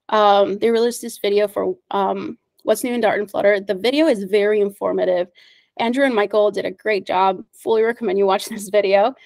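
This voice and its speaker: A woman's voice: medium pitch, vocal fry, a little mellow, no echo. A woman whose first language is Spanish, presenting at an online meetup. She is upbeat and friendly, with frequent fillers and small laughs. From United States. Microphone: video call.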